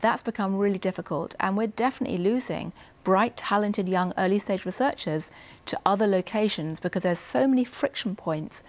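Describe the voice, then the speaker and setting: A female newspaper writer lays out economic points in long, fluent sentences. Her voice sits in the middle of the female pitch range. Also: a little fast. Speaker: newspaper writer, panel guest. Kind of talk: economic analysis.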